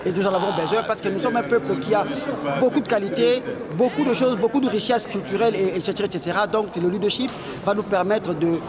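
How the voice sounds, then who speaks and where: A man giving measured remarks at a community event, hesitating often with fillers as he speaks. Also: high-pitched. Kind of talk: earnest public remarks. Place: outdoors at a crowded event. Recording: outdoor event with loud crowd. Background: loud crowd chatter.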